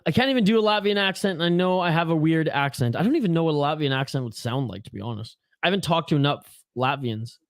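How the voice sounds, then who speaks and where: High-voiced Canadian man chatting on stream. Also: lively intonation, fast, hardly any pauses, dry recording, clean studio sound. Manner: chatty, swears casually, reads viewer comments aloud. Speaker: host of a hockey watch-along stream. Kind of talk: casual live-stream chat.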